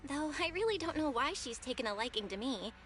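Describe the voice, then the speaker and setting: A woman's voice: high voice, expressive, quick pace, fairly bright tone, soft background music. A woman voicing an earnest, friendly young protagonist in an anime game. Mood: thoughtful.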